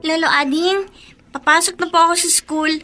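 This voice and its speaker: A sweet, polite schoolgirl granddaughter in a radio play. Her voice is very high and bright. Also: steady tone, moderate pace, faint music behind. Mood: cheerful. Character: cheerful young granddaughter off to school. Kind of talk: Filipino radio drama acting.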